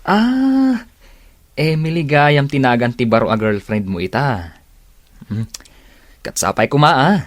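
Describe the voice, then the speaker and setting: Lively, teasing Ilocano buddy. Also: male voice, mid-range voice, a little slow, long pauses, no echo. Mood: curious, teasing. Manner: bantering, needling, exclaiming. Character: nosy, teasing male buddy and co-worker. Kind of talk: Ilocano radio drama acting.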